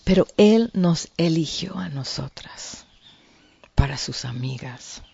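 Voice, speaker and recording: A woman with a Mexican Spanish accent preaching earnestly to a women's group, with frequent fillers and the odd throat-clear. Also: deep voice, lively intonation, slow pace, dark tone, dull audio. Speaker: women's ministry speaker.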